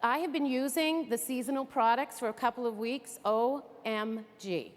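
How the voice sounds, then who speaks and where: Woman, high voice, chatty and upbeat, long hall echo. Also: normal speed. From Greater Boston area. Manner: chatty, upbeat, addresses the audience directly. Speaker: beauty consultant giving a training talk. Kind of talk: enthusiastic stage presentation. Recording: echoey hall.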